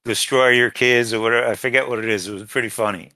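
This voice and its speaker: A man's voice: mid-range voice, fairly even intonation, quick pace, sharp tone, dry recording. A man co-hosting a podcast in casual chat, tentatively groping for names and details. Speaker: podcast co-host.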